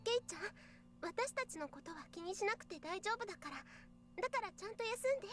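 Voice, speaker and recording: Gentle childhood-friend girl for a visual novel: extremely high voice, quick, anxious, pleading delivery. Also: lively intonation. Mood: worried, pleading. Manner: earnest, pleading, hesitant. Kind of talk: Japanese visual novel voice acting.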